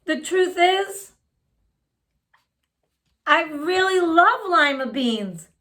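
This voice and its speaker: A woman's voice: very high-pitched, expressive, very slow, sharp tone, voice pushed up, exaggerated voice. A woman voicing a self-conscious young schoolgirl in a storybook, plaintive and emphatic. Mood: pleading confession. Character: self-conscious young schoolgirl who hides what she likes.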